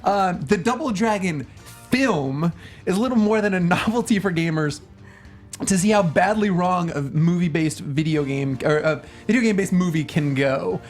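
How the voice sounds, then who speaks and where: A man with a high-pitched voice co-hosts a casual film panel. He is wry and quick with a quip, and music plays faintly behind his banter. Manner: wry, quippy. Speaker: co-host of a film review panel show. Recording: music playing behind the talk.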